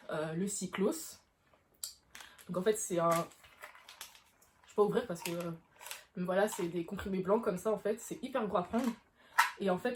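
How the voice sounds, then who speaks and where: A woman's voice: lowish voice, a little mellow. A woman, chatting informally to camera and explaining things, often rephrasing herself as she goes. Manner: informal, frequent self-rephrasing. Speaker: vlogger answering questions. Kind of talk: casual explanatory chat to camera.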